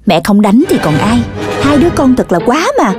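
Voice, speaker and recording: Mẹ chó miền Nam la rầy. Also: female voice, on the high side, very animated, moderate pace, over music. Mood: exasperated. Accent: Southern Vietnamese. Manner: scolding, emphatic. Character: exasperated scolding cartoon mother dog, strict but caring. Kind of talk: children's cartoon voice acting.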